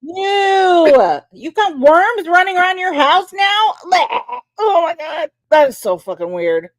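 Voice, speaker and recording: A woman's voice: extremely high pitch, big pitch swings, slow, dry recording. A woman co-hosting a livestream, talking in a sarcastic, profane, squeamish way. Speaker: livestream co-host. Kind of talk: casual livestream banter.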